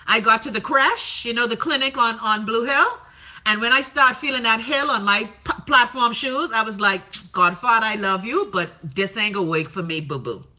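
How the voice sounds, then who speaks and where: A woman's voice: on the high side, moderate pace. A Bahamian woman hosting a livestream, chatting casually and sassily while reading out viewer comments, with plenty of profanity. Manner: sassy, profane, animated storytelling. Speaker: livestream host.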